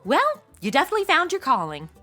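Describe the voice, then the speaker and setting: An actress as a boozy young party girl in a comedy radio drama. Her voice is highish, bright and very animated, delivering blunt sarcasm in modern slang. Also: fast, faint music behind, heavily acted. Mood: flippant, sarcastic. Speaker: lead actor in a comedy audio drama. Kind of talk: comedic audio drama acting.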